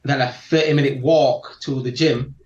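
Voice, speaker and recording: A man from South Yorkshire with a strong Yorkshire accent, chatting as a guest and telling his martial arts story slowly and carefully, often laughing. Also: medium pitch, steady tone. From England (Barnsley, South Yorkshire). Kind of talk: casual interview chat. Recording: echoey room, dull lo-fi audio.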